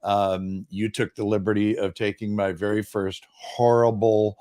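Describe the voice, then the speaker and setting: A man in his sixties, a business coach, telling his story in an earnest, self-deprecating way on a boom mic. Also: flat delivery, a little slow, warm tone. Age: 60s. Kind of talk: casual interview chat. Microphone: boom microphone.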